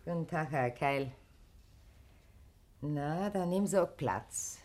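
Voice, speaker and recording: A woman's voice: low-pitched, lively intonation, slow, a little mellow, a little hiss or hum. A woman acting a kindly, frank middle-aged wife in a German radio drama, speaking in a motherly, colloquial way. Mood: kindly, welcoming.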